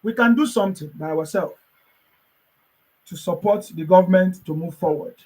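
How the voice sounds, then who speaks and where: A man's voice: high-pitched, normal speed, darkish tone, rough recording. A man with a voice worn from chanting, joyfully addressing his followers and urging them on with repeated phrases. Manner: joyful, repetitive, exhorting. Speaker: political supporter addressing followers. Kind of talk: excited celebratory address.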